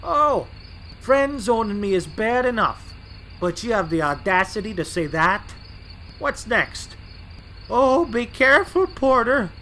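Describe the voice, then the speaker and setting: A man's voice: high-pitched, very animated, unhurried, bright, forceful tone, slight background noise, full character voice, rough recording. A man playing a boastful, grouchy, easily offended switcher locomotive in a fan audio drama. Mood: indignant, boastful. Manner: brash, indignant, boastful.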